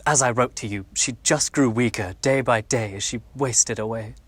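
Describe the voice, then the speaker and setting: A man's voice: mid-range voice, fairly bright tone, breathy edge. A man playing a formal, authoritative Victorian doctor and worried father in a radio drama. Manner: formal, measured, commanding. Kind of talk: stage radio drama acting.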